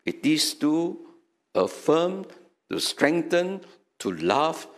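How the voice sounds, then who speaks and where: A man's voice: a little high, big pitch swings, drawn-out words. An older man with a Singaporean accent, an archbishop giving an earnest sermon into a stand mic in a small chapel. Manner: earnest, emphatic, preaching with hand gestures. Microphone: stand mic in front.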